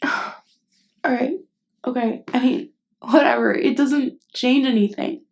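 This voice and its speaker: A woman acting a nervous, tender best friend with hidden love in an intimate ASMR roleplay, speaking hesitantly and haltingly. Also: sits high, fairly even intonation, darkish tone. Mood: resigned, hurt. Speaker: voice actress.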